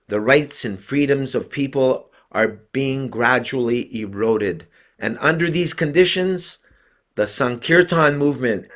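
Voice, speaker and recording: An older man, a devotee preacher and host, addressing a religious gathering in a deliberate, earnest speaking style. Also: mid-range voice. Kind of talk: read-out sermon, then informal introduction.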